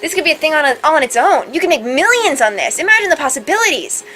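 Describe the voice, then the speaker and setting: A woman's voice: high-pitched, sing-song, quick pace, pushed and bright. A woman co-hosting a let's play, reading game dialogue expressively and chatting casually between lines. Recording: faint background game music.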